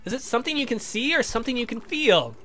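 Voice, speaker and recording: A man's voice: extremely high pitch, very animated, fast talker, a bit bright, husky, faint music behind, voice pushed up, full character voice. A man voicing an eager, polite young scientist in a visual novel, speaking in a precise, inquisitive, formal way. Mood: eager, awkward.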